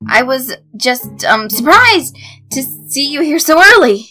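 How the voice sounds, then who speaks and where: Woman acting a nervous, high-voiced teenage girl. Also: very animated, a little slow, sharp tone, faint music behind, exaggerated voice, poor audio. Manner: stammering, nervous. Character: shy, flustered, lovestruck teenage girl.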